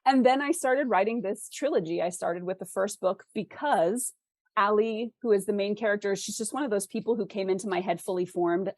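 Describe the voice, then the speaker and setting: A woman's voice: medium pitch, brisk, no room sound. A talkative middle-aged woman chatting casually and expressively about her own work as a fantasy author being interviewed.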